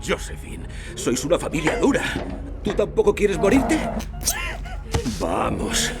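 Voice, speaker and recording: A whispering, taunting killer. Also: male voice, huge pitch swings, unhurried, gravelly, over loud music, heavily acted. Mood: menacing. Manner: hushed, creepy whispering with sudden high leaps. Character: menacing male serial killer, cold and taunting. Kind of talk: dramatized true-crime reenactment.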